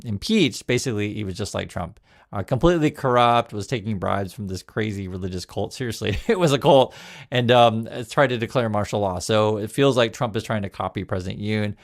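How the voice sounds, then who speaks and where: Chatty man with a gravelly voice. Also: mid-range voice. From United States. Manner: chatty, restarts words, reads quotes aloud. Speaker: news commentary host. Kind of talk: casual spoken commentary.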